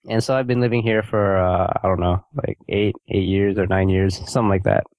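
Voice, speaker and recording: A man's voice: deep voice, steady tone, a little fast, a little mellow, no room sound. A man from California with an American accent, chatting as a guest on a remote call with many fillers and restarts. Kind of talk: casual interview over a remote call. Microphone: remote call setup.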